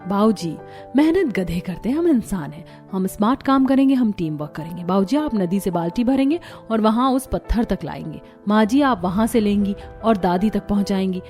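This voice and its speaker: Over background music, a woman voices a young daughter-in-law from an animated family tale. She is cheerful and resourceful, organising everyone with brisk, practical instructions in a mid-pitched voice. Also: natural voice. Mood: confident. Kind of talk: Hindi cartoon story dialogue. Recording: music behind the voice.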